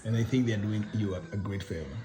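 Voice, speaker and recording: A middle-aged man conducting an informal interview, speaking haltingly and rephrasing his questions as he goes. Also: lowish voice. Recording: echoey room with people in background.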